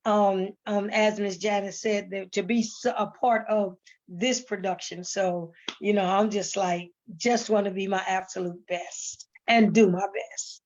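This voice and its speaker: A woman's voice: medium pitch, steady tone, measured pace, a little mellow. A woman talking casually and emphatically as an interviewed stage actress, often repeating words and phrases. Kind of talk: casual panel conversation. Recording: dull, muffled lo-fi sound.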